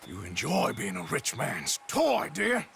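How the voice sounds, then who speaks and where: An actor playing a weary, wry middle-aged outlaw with a gruff, dry American frontier drawl, in a game cutscene. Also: male voice, a little high, wildly swooping pitch, unhurried, fairly bright tone, slight background noise, exaggerated voice. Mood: guarded, wry. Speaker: outlaw protagonist. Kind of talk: video game cutscene acting. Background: game score music.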